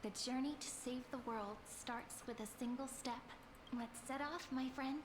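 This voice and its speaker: A woman playing a wistful, knowing young female companion in a video game, thoughtful, measured and familiar in manner. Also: high voice, steady tone, noisy background, lightly acted. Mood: warm, encouraging. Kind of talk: dramatic video game voice acting.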